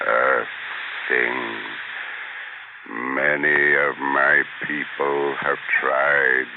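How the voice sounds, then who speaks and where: A cold, commanding alien head speaks down to humans,. Its voice is extremely deep and gravelly, dragged out slowly. It comes through a tinny, noisy old radio broadcast. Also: male voice, level tone, heavily acted. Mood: menacing. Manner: slow, contemptuous, commanding. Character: menacing alien head, male, cold and arrogant. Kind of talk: 1970s radio drama.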